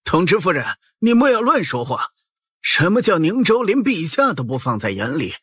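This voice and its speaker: A man's voice: high voice, sing-song, moderate pace, a bit bright, slightly rough, no echo, full character voice. A man playing a nervous, evasive prefect in an audiobook drama, with a theatrical, placating delivery. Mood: alarmed. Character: nervous, evasive male prefect, a wheedling official. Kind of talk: audiobook drama acting in Mandarin.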